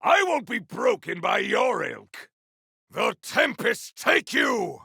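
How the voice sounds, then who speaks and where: A male voice actor playing a grandiose, battle-hungry video game warrior, declaiming in a bombastic, archaic style with a rough, gravelly voice. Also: sits high, big pitch swings, takes it slowly, pushed and bright, no echo, clean studio sound, exaggerated voice. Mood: battle fury. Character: grandiose battle-hungry warrior wielding fire, frost and storm. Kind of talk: video game combat barks.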